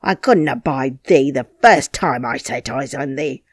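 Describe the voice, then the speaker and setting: A woman voicing an angry old male gardener, scolding loudly in broad dialect, in an audiobook reading. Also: lowish voice, big pitch swings, moderate pace, dry recording, clean studio sound. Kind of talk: audiobook character voice.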